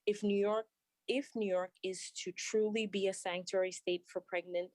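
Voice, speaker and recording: A young woman, a doctor, giving earnest prepared testimony at a public hearing, with halting restarts, speaking remotely from her workplace. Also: medium pitch, fairly even intonation, a little mellow, no echo. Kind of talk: prepared testimony, read aloud.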